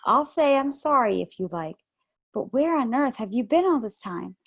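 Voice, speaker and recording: A woman's voice: a little high, lively intonation, moderate pace, slightly rough, pitched above the performer's own voice. A woman doing a snappish young boy. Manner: snappish, defensive. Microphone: video call.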